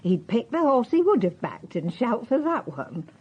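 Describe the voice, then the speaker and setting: An actress playing a gentle, forgetful, slightly anxious elderly Englishwoman in a radio drama. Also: a little high, big pitch swings, moderate pace, husky, muffled recording. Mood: polite, rambling. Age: older.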